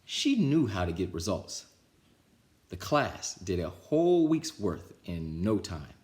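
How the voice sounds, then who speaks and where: A man reading a children's picture book aloud as storytime, with expressive, dramatic phrasing. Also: low-pitched, takes it slowly. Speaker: storytime reader.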